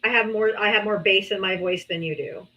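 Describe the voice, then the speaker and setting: A woman's voice: mid-range voice, fairly even intonation, a little fast, bright, forceful tone, highs cut off. A woman hosting a live political debate stream, blunt and scolding, swearing freely at callers.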